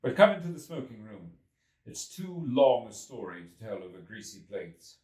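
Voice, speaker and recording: A man voicing a worn-out, earnest and insistent Victorian gentleman inventor in an audiobook, speaking emphatically. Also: fairly low pitch, big pitch swings, moderate pace. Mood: apologetic. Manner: emphatic, weary, declarative. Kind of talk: audiobook character voice. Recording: roomy echo, distant from mic.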